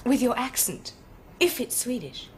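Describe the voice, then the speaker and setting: A cool, haughty young upper-class English lady in a 1970s television period drama. Her fairly high voice is crisp and condescending and moves with lively rises and falls. A faint hiss lies beneath the old broadcast sound. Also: measured pace. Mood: brisk.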